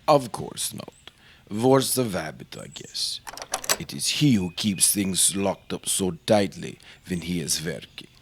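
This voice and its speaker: A man acts out an older scientist for an old-time radio play, guarded and haughty. His voice sits low and he takes his time, with formal, halting phrasing and a dramatic intensity. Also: slight background noise.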